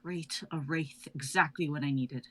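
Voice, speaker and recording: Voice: medium pitch, steady tone, moderate pace, close to an everyday voice. A performer reading a fearless sorceress in a live drama reading, cool, cutting and self-assured. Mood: confident. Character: fearless female sorceress, claims to be a god.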